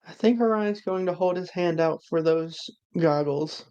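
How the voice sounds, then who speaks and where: A woman's voice: lowish voice, normal speed, warm tone, smooth, highs cut off. A woman playing a tabletop game, chatting casually in a slangy, blunt way.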